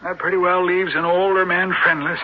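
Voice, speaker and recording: Chatty folksy old rich man, high voice, bit crotchety, old radio drama, muffled sound. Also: little pitch movement, a little fast. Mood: jovial. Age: older. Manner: folksy, garrulous, hesitating with ohs and uhs. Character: rich retired old man, jovial, crotchety about his nephew. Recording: dull, band-limited old radio recording.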